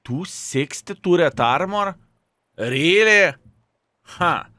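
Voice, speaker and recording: A man's voice: on the high side, expressive, takes it slowly, bright, forceful tone, no echo, clean studio sound. A man with a Lithuanian accent, hosting a video and chatting casually through a game, often calling his viewers "fellas". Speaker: gaming video host. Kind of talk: casual gameplay commentary.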